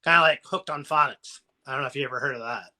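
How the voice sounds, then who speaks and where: A man's voice: medium pitch, quick pace, bright, forceful tone. A man with a southern Ohio American accent, hosting a casual live chat and giving rambling, folksy encouragement. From Ohio, USA. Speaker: motivational live-stream host.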